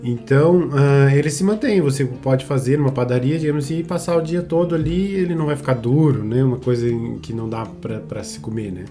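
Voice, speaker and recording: Guy co-hosting a podcast, mellow dark voice, keeps restarting his sentences, faint guitar behind. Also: medium pitch. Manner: halting, many restarts and tag questions. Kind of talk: casual conversational podcast chat.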